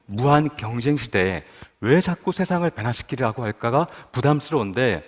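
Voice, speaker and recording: A man from Busan tells a personal testimony at a prayer meeting. His pitch moves freely up and down as he turns questions to the listeners. His voice carries a long reverb from a large hall. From Busan, South Korea. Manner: storytelling, asks the audience questions. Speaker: guest speaker at a prayer meeting.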